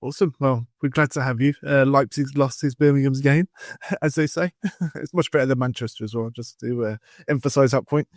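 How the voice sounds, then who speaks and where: Casting: young English male host, mid-pitched, relaxed and rambling, lots of "uh" and "kind of". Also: a little fast, no echo, studio quality. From England. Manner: casual, rambling questions with fillers. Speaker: podcast host. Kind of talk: podcast interview.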